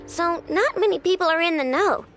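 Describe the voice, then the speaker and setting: A woman's voice: extremely high pitch, normal speed. Brash young inventor girl over music. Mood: sober, matter-of-fact. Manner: boastful, casual, slangy. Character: brash, cocky young female cannon inventor. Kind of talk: video game cutscene voice acting. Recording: music behind the voice.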